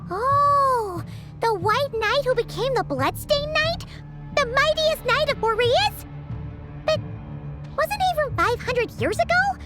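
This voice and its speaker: An actress voicing a tiny childlike fairy companion in a video game, squeaky, excitable and inquisitive. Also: female voice, slow, heavily acted. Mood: curious, surprised. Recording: loud background music.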